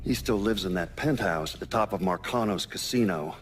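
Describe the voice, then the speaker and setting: Man as a low-voiced federal investigator. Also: a little fast, lightly acted. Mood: matter-of-fact, reflective. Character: matter-of-fact male federal investigator recounting mob history. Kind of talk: mock-documentary interview in a video game.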